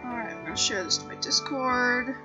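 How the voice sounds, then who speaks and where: A woman's voice: fairly high pitch, a little fast. A woman game streamer chatting casually to her viewers in a scattered, self-deprecating way. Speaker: game livestreamer. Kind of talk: casual livestream chat. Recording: dull lo-fi mic, music behind. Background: background music.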